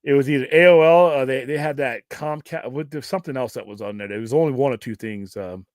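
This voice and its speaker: An American man with a gruff voice hosts a casual livestream, talking in a rambling, off-the-cuff way and swearing casually. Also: medium pitch, fast, a little mellow, dry recording, studio quality. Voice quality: gruff, self-described Cookie Monster voice. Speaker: host of a pop culture livestream show. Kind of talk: casual livestream chat, question and answer.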